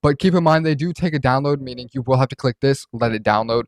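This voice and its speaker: A man's voice: medium pitch, fast, dry recording. Casual man, close and dry sound. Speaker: host reviewing voice changer software. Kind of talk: casual screen-recorded software walkthrough.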